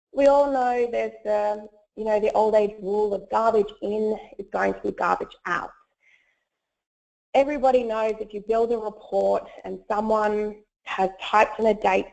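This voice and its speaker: A woman presents over an online meeting, her voice gravelly and croaky with a cold. She talks in a friendly way, with plenty of ums and uhs. The call audio is dull and lo-fi. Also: medium pitch, fairly even intonation, moderate pace, darkish tone. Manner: friendly, with frequent fillers. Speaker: webinar presenter, solutions consultant. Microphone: web conference audio. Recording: muffled lo-fi web call audio.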